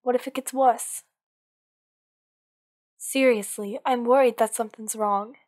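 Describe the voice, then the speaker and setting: A woman's voice: on the high side, steady tone, measured pace, darkish tone. A woman playing an anxious, possessive villain lover in an intimate ASMR roleplay, speaking in an earnest, pleading, insistent way. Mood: worried, coaxing. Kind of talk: ASMR roleplay acting, one-sided dialogue.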